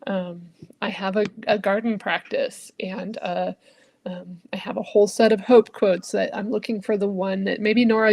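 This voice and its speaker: A woman minister speaking in a thoughtful, searching way, often trailing off softly at the ends of phrases. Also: mid-range voice, creaky, no echo. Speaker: Unitarian Universalist minister. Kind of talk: reflective conversational talk.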